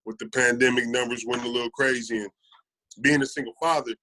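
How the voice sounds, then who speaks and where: This is an American man in his thirties with an average-pitched voice. He speaks as a guest in a casual interview, telling personal stories. His delivery is earnest, and he repeats phrases to make them land. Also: fairly even intonation, fast talker, bright, forceful tone, rough recording. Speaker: podcast guest. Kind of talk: casual conversational storytelling.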